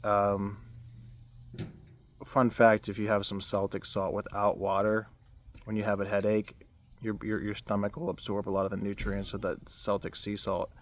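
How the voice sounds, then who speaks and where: A young man with a low baritone voice talks through a casual self-help vlog. His delivery stays fairly flat and rambles, full of restarts and fillers. Crickets and insects chirp around him outdoors. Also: normal speed. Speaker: vlogger.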